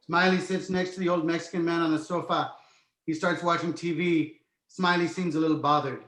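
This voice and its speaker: A man's voice: fairly high pitch, steady tone, fairly quick, fairly bright tone. A man reading scripted screenplay stage directions as narrator, in an echoey room. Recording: roomy, echoey sound.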